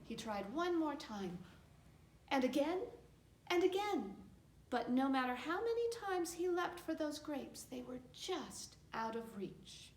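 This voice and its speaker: Female children's storyteller, high animated voice, simple expressive phrasing, recorded in an echoey room. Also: a little slow, slight background noise. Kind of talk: storytelling for a children's puppet show.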